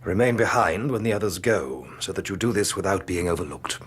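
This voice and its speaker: An actor playing a formal, authoritative older British aristocrat and government minister in a radio drama. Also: male voice, sits low, expressive, fairly quick. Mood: grave, confidential.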